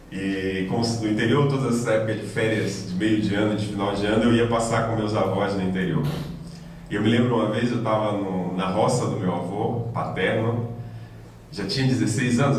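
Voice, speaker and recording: A man, giving a reflective personal testimony lecture at a spiritist center, with pauses and restarts. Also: lowish voice, recorded from a distance, slight background noise. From Salvador, Bahia, Brazil. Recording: echoey hall, voice from afar.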